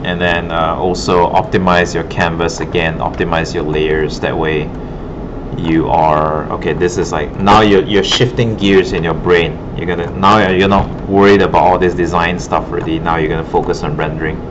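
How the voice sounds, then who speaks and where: A man's voice: low-pitched, flat delivery, normal speed. A man working as an art instructor, giving a casual classroom demo and thinking aloud informally as he works. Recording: muffled, noisy broken classroom audio.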